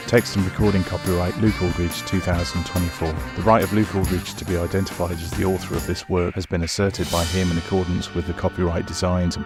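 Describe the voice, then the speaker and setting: A man hosting a podcast, speaking to listeners as its writer and presenter. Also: low voice. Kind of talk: podcast presenting, credits and informal asides.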